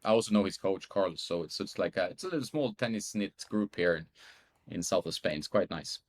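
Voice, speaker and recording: A man's voice: low voice, lively intonation, fairly quick. A man speaking English as a guest in a casual chat, easygoing and thoughtful. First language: Swedish.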